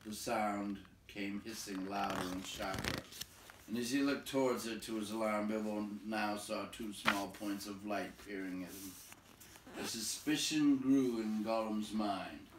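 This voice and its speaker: Low-voiced man reading a story, echoey room. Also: a little slow, background noise. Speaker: storybook reader. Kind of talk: expressive scripted narration. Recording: echoey room, distant from mic.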